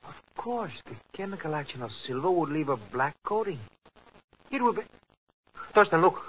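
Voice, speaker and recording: An old radio play, muffled and faintly noisy. a fussy, excitable professor with a high-pitched voice. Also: male voice, normal speed. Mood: agitated. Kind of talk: 1950s radio drama acting.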